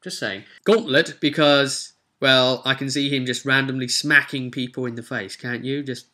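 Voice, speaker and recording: Young english guy, bright voice, chatty gaming tutorial, bit wry. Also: medium pitch, fairly even intonation, moderate pace. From England. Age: 20s. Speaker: host of tabletop roleplay channel. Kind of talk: casual tutorial chat to viewers. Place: small home room, indoors.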